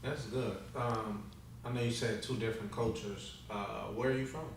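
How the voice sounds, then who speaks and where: A man's voice: lowish voice, steady tone, moderate pace, reverberant, slight background noise. A man hosting a podcast, asking questions in a loose, slangy way and laughing easily. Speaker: podcast host. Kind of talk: casual interview.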